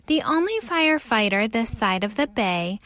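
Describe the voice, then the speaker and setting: A woman reading a story aloud for young children in an expressive, theatrical way. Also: a little high, moderate pace. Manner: animated, theatrical delivery.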